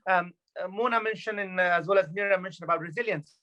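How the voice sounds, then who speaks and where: A man's voice: high-pitched, fast, sharp tone. A man in his thirties from Afghanistan, speaking as a guest at an event in an earnest, reflective way with many fillers and restarts. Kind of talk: personal talk at an online event.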